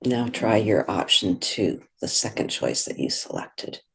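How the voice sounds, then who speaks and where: Slow, husky meditation guide. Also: low-pitched, sing-song, rough recording. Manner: gentle, unhurried, soothing with long pauses.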